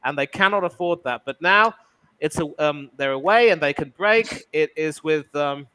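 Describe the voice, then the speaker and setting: A man with a fairly high, bright voice chats on a football watch-along. He slips easily into measured commentator patter and calls the action as if for broadcast. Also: smooth, low-quality recording. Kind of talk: casual chat mixed with play-by-play commentary.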